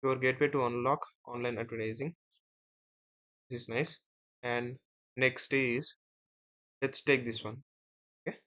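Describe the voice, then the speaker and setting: A man teaching as an instructor in a screen-share software tutorial, explaining step by step and often checking in with short tag words. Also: mid-range voice. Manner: step-by-step, often ending phrases with "right?" and "okay".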